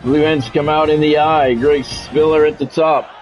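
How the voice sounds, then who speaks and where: A man's voice: a little high, steady tone, normal speed, dull audio, soft background music. A man streaming a football video game, mixing play-by-play commentary with casual, blunt, salty chat.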